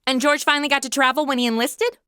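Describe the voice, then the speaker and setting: A woman's voice: sits high, steady tone, fast talker, sharp tone, no echo, studio quality. A woman playing a snarky, irreverent trainee angel in a comedy audio drama, blunt and sarcastic. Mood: puzzled, flippant.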